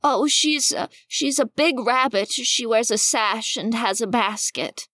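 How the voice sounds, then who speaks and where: A woman's voice: fairly high pitch, measured pace, pushed and bright, no echo, voice pushed up. A woman voicing a nervous little male bilby who stammers and hesitates. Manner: timid, stammering.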